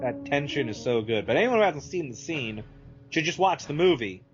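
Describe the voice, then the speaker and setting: A man's voice: a little high, lively intonation, moderate pace, soft background music. A man chatting casually about films as a podcast guest, thoughtful and hesitant. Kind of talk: casual film discussion. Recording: slightly muffled audio.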